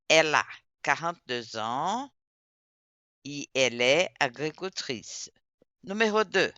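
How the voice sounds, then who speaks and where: Slow, patient male language teacher. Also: on the high side, pushed and bright, no room sound, highs cut off. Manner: slow, patient, repeats words to drill students. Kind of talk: classroom instruction.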